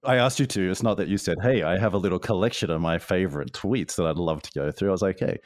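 A middle-aged man with a deep voice hosting an interview in a casual, talkative, self-deprecating way. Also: fast, no echo, clean studio sound. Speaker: podcast host.

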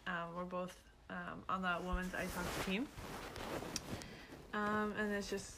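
A woman's voice: lowish voice, little pitch movement, measured pace, background noise. A young woman from Canada co-hosting a podcast, chatting nervously with many "um"s and "like"s. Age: young adult. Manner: nervous, giggly, heavy use of like and um. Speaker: podcast co-host. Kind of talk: casual chat.

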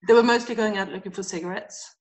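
A woman from Sweden talks as a guest over a remote call, her voice at a middle pitch. She is relaxed, laughs softly, and pauses often on ums. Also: poor audio. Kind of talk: casual chat.

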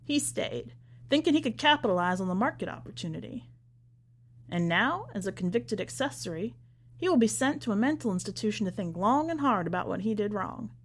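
A woman's voice: mid-range voice, lively intonation, a little fast, husky. A woman reading a short story aloud as a podcast narrator, with dramatic scripted delivery.

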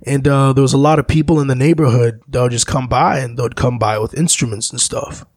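A man's voice: medium pitch, a little fast, husky, no echo, studio quality. A man from Zambia, a musician being interviewed, who tells reflective stories in a rambling way. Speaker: musician guest. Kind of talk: casual interview talk.

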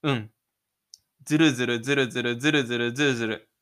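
A man's voice: mid-range voice, fairly even intonation, quick pace, fairly bright tone, clean tone, voice pushed down, natural voice. A man playing a shy, stubborn teenage schoolboy in an audio drama, muttering an inner monologue to himself. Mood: reluctant, brooding.

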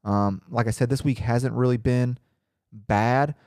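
A man's voice: mid-range voice, fairly even intonation, a little slow, darkish tone, no room sound, clean studio sound. A young man hosting his own podcast in casual, rambling, profane talk, a little congested and nasal from a cold. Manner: profane, rambling, laid-back. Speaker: podcast host.

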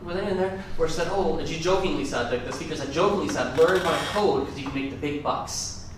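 A man's voice: fairly high pitch, fast talker, background noise. A man giving a casual, rambling conference talk, often correcting himself mid-sentence. His first language is Gujarati. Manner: chatty, rambling, self-correcting. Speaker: conference speaker. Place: large conference room. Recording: echoey hall, mic from far off.

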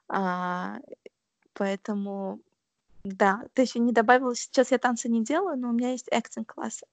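A young woman speaks in a relaxed interview, hesitating often and filling pauses as she thinks. Her voice has a gravelly, creaky edge. The recording is dry and close. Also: mid-range voice, dry recording. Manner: thoughtful, hesitant, many fillers. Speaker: interview guest. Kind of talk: casual conversational interview.

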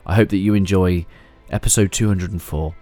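A man with a deep, low voice hosting a podcast. He talks in an encouraging, easygoing way and slips in soft murmurs of agreement. Faint music plays under him. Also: steady tone, a little fast. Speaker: podcast host. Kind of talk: scripted intro, then casual interview.

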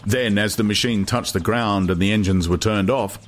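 A man's voice: on the low side, moderate pace. A man reading literary fiction as a scripted, dramatic audiobook narration, with noise behind him.

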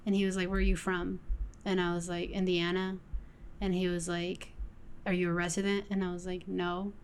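A young woman co-hosting a casual podcast, telling a story in a lively, casual way. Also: lowish voice, moderate pace, a little hiss or hum. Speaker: podcast co-host.

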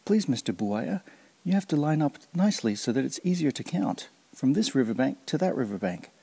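A man voicing a small, clever male mouse-deer trickster who talks high and excited. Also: brisk, gravelly, husky, heavily acted. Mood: cheeky.

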